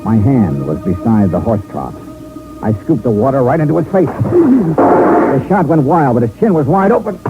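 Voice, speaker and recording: A man's voice: medium pitch, big pitch swings, normal speed, natural voice. A man acting as a shrewd, sardonic insurance investigator in a 1950s radio detective drama, with an American accent and clipped, dry delivery. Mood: wry, reflective. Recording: old radio recording, narrow band, music.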